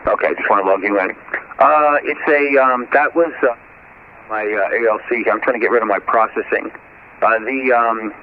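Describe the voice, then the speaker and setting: A man working as an amateur radio operator, chatting casually and technically over the air in a halting, thinking-aloud way. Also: medium pitch. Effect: radio transmission. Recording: narrow tinny shortwave radio, clear static noise.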